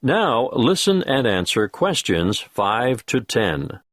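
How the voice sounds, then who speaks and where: A man reads listening test instructions in a measured, formal way. He speaks slowly with a lively rise and fall in his pitch, and the recording is dry. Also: no room sound. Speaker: exam narrator.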